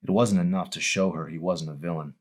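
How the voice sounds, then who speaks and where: A man's voice: low voice, fairly even intonation, fairly quick. A man reading his own novel aloud as a dramatic audiobook narration.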